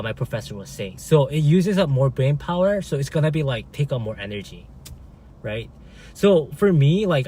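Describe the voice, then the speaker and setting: Halting man, native Korean speaker. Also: mid-range voice, slight background noise. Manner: halting, many fillers and restarts, frequent "right?". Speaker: live streamer. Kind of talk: casual live-stream chat, reading viewer comments.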